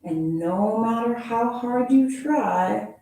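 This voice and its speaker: A woman teacher reading a children's picture book aloud in a playful, expressive storytelling manner, adding little sound effects. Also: fairly high pitch, slow, noisy background. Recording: very echoey room from far off.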